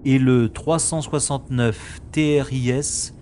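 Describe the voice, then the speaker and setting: An amateur actor playing a polite, methodical male special agent in a science-fiction audio drama, formal and measured. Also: medium pitch, fairly even intonation, dark tone, slight background noise, natural voice. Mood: grave.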